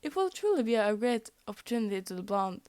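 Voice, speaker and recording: A woman's voice: a little high, very animated, fast talker, darkish tone, dry recording. A young woman whose first language is Norwegian, reading fan fiction aloud, often tripping over words and laughing at her slips. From Norway. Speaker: fanfic reader.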